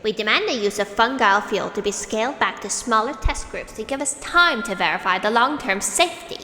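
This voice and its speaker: A woman's voice: a little high, lively intonation, a little fast, bright, forceful tone. An actress playing an insistent, skeptical woman challenging officials in an audio drama, pointed and firm. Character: insistent, skeptical female challenger at press conference. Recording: echoey hall, background noise.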